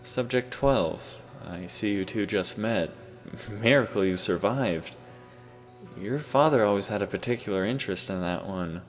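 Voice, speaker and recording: A man voicing a calm, condescending suited scientist who speaks gently. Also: fairly low pitch, moderate pace, close to an everyday voice. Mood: smug calm. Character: calm, smug male scientist in a suit. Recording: reverberant room with faint music.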